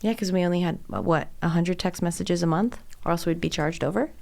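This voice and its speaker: A woman's voice: on the low side, a little fast, vocal fry, darkish tone. An American woman in her thirties chatting casually as a podcast co-host, emphatic and playful.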